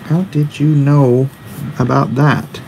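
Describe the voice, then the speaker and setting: Gravelly man in his forties, casual streamer. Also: mid-range voice, flat delivery, slow, darkish tone, a little hiss or hum, rough recording. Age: 40s. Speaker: comic artist hosting a live drawing stream. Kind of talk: casual livestream chat, reading and answering viewer comments. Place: indoors at a drawing desk.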